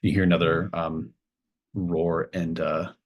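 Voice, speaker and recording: A man running a tabletop game as game master, improvising narration in a halting way, thinking aloud mid-sentence. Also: low-pitched, flat delivery, dull audio.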